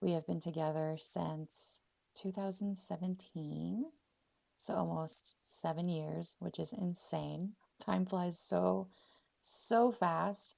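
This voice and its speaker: Low, very creaky American woman podcaster. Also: fairly even intonation, takes it slowly, dark tone, dry recording. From Arizona, US; born in Poland. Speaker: podcast host. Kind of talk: unscripted solo podcast, casual and personal.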